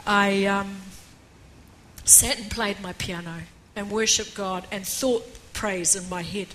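An older woman preaching a personal testimony in an earnest way, with a croaky voice, reading a passage aloud. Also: medium pitch, fairly even intonation, slow pace, a bit bright, a little hiss or hum. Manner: earnest, reads aloud with rising emphasis. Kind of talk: testimony sermon.

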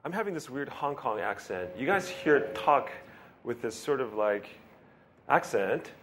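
A man's voice: medium pitch, lively intonation, a little slow, noisy background. A male pastor giving a casual, rambling sermon, joking about himself and laughing often. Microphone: handheld or stand mic with feedback.

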